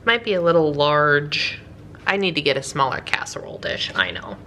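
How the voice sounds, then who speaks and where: A woman's voice: low voice, sing-song, moderate pace, sharp tone, slight background noise. A woman narrating a casual cook-along in her home kitchen, chatty and upbeat, laughing at her own slip-ups. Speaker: home cooking vlogger. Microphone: camera over the counter, hands in frame.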